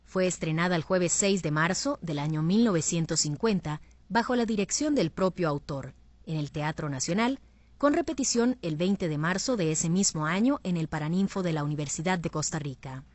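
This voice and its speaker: A woman presenting a radio programme, reading scripted documentary narration in a formal, measured way. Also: lowish voice, darkish tone, no room sound.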